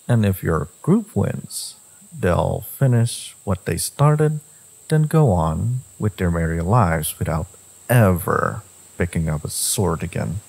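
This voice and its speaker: A man voicing a brooding, devoted fantasy villain in a romance audio roleplay, speaking deliberately in a low, gravelly, husky voice. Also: expressive, darkish tone, full character voice, low-quality recording. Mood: grim. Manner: slow, deliberate, with pauses and sighs. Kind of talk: dramatic second-person romance audio roleplay.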